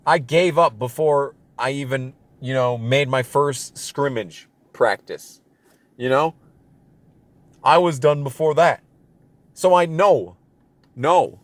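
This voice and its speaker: A man's voice: a little high, no echo. A young man telling a casual personal story as an exasperated, self-deprecating rant with plenty of swearing. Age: young adult. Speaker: storytelling video creator.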